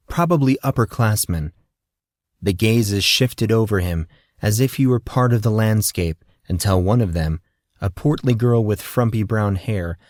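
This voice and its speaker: A man narrating a story in a steady, measured way as an audiobook reader. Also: fairly low pitch, no room sound, clean studio sound.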